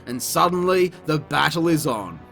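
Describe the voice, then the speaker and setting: A man's voice: fairly high pitch, animated, moderate pace, gravelly, fairly bright tone, faint music behind. A man narrating a scripted comedic review in a sarcastic, crude, mocking manner. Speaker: video reviewer.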